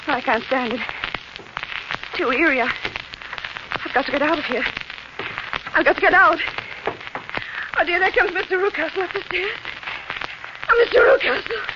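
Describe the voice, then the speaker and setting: An actress in 1940s radio style playing an anxious but brave young Victorian governess, speaking in a breathless, melodramatic way. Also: female voice, very high voice, normal speed, pauses often. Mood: frightened. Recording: very noisy, muffled old-time radio recording.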